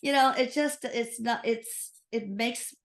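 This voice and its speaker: A woman's voice: on the high side, slow pace. A woman host with a Texas accent, asking questions in a chatty, opinionated interview. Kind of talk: casual interview.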